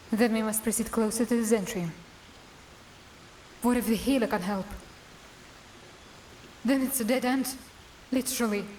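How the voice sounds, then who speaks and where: A woman playing a wry, guarded dark elf assassin in a cinematic fantasy drama, with a musing, teasing manner. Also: medium pitch, slight background noise, natural voice, rough recording. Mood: pensive.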